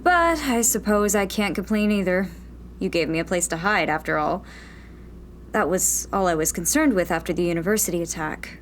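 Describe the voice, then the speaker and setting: A woman's voice: on the low side, animated, moderate pace, a little hiss or hum, natural voice. A woman playing a guarded young rebel in hiding, dry and hesitant, in a sci-fi audio drama. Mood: wry. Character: guarded, wry young female rebel radio host in hiding.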